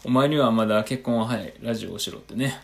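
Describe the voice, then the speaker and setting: A man's voice: mid-range voice, fast, vocal fry. A man, a podcast co-host explaining things politely and matter-of-factly in a comic skit. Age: late 20s. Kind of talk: radio-drama style comic skit dialogue.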